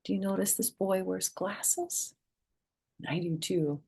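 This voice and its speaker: An older woman doing a children's read-aloud storytime, moving between expressive sing-song reading and soft, gentle asides. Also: mid-range voice, takes it slowly, creaky, husky. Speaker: storyteller, children's author and former schoolteacher.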